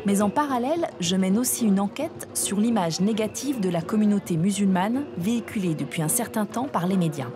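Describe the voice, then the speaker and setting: A woman reporter narrating and interviewing people at an outdoor event, calm and clear. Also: mid-range voice, fairly quick, hardly any pauses, over music. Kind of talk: documentary narration and street interviews.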